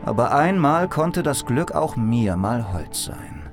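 A man's voice: medium pitch, sing-song, slow pace. An actor playing a dry, wry, down-on-his-luck detective who narrates and talks in a noir audio drama. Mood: wry, weary. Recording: music playing behind the voice.

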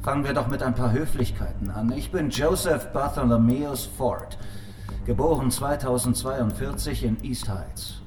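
A man's voice: mid-range voice, moderate pace, a little mellow, lightly acted. Man playing cheeky private detective, controlled, defiant, audio drama dialogue, roomy echo, faint music. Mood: defiant, mocking. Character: defiant, wisecracking male private detective under interrogation. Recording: echoey room, faint music.

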